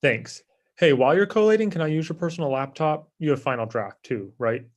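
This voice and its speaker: An actor at a comedy table read playing a lazy, self-absorbed office coworker in a casual, dismissive way. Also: male voice, on the high side, lively intonation, a little fast, close to an everyday voice. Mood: breezy, evasive.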